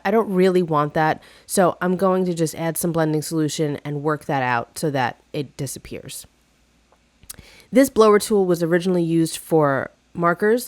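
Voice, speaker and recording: A woman with a lowish voice walks through a craft project in a casual, chatty way, full of little fillers and restarts. She sounds slightly hoarse from a cold. The recording is dry and close, with no room sound. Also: no room sound. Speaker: craft video host. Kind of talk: casual craft tutorial narration.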